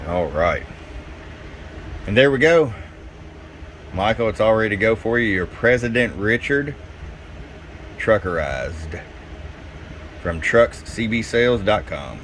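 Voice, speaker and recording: A man's voice: lowish voice, takes it slowly, long pauses. A man giving a casual, folksy, unscripted product demonstration as a radio technician, talking directly to a customer. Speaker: radio technician presenting tune-up reports. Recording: clear steady background hum and noise.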